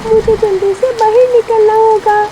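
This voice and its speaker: A woman's voice: very high-pitched, level tone, moderate pace, background noise, pitched above the performer's own voice. A woman voicing an anxious, plaintive mother bird in a children's cartoon. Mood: alarmed. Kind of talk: Hindi children's cartoon story acting.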